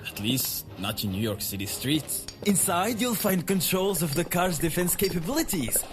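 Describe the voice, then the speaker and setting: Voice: medium pitch, lively intonation, normal speed, over music, close to an everyday voice. A performer playing a proud, refined and fussy gadget inventor in a pulp radio drama. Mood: showing off.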